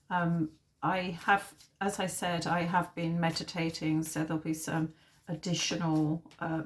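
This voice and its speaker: A woman with a low, level voice leads an informal spiritual card reading. She talks slowly and haltingly, with many pauses. A sore throat leaves her sounding a little nasal and husky. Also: a little hiss or hum. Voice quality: slightly husky. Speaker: tarot reader and host.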